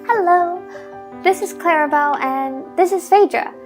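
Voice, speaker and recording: A woman's voice: extremely high pitch, normal speed, faint music behind. A woman playing a friendly, helpful young townswoman in a fantasy audio drama, with a casual, chatty manner.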